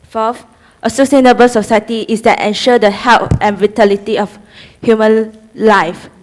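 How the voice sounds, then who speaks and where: A woman's voice: on the high side, fairly even intonation, moderate pace. Young woman listing points steadily. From Malaysia. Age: young adult. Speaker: student presenter. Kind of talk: prepared group presentation.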